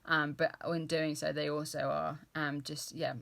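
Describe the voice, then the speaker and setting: A woman's voice: deep voice, level tone, measured pace, creaky, fairly bright tone. A woman in her thirties chatting casually about books, rambling with many fillers and restarts and laughing at herself. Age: 30s. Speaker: book vlogger. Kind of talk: casual chatty book talk.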